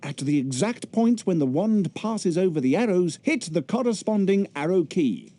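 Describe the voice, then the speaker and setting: An actor playing a vain celebrity wizard teacher in a video game, speaking in a pompous, theatrical, self-satisfied way. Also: male voice, sits high, expressive, normal speed, dark tone. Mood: showy instructing.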